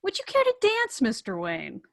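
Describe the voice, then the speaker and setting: A poised socialite, read by a woman at a table read, coy and flirtatious. Her slightly high voice moves in lively swings and sounds close and dry. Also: normal speed, vocal fry, no room sound, heavily acted.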